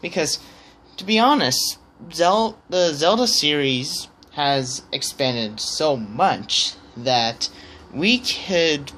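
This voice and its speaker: A man with a bright, forward voice talks slowly through his opinions in a casual vlog. His phrasing is hesitant and halting, with word starts repeated as he thinks aloud. There is some noticeable background noise around him. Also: on the high side, expressive. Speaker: vlogger.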